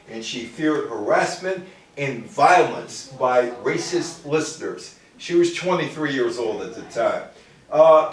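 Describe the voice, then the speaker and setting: Man, lecture from notes, rhetorical asides, halting delivery, heavy room echo, fairly high voice. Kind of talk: public talk read from notes with asides. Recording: very echoey room, distant microphone.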